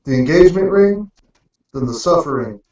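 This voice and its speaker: A man's voice: fairly high pitch, animated, normal speed, a little mellow. A man telling jokes in a casual group chat. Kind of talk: casual joking round-table chat. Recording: very echoey, distant, muffled mic.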